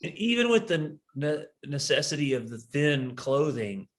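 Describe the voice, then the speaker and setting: A man's voice: a little high, sing-song, a little slow. A man co-hosting a podcast, making dry, teasing remarks in casual banter. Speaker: podcast co-host.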